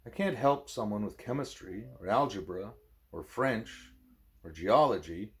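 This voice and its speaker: Man playing huge gorilla teacher, audiobook character, measured, courteous, formal, varied intonation. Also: on the low side, expressive. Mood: explaining. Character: huge, patient, learned male gorilla teacher.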